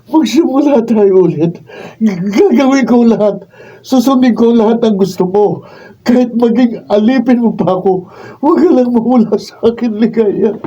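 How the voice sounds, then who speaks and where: Radio drama husband, male actor: middle-aged, emphatic and jealous, high but very mellow voice, heard from off mic. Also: normal speed, breathy edge. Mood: desperate pleading. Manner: melodramatic, emphatic. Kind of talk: Filipino radio drama acting. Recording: muffled, distant microphone.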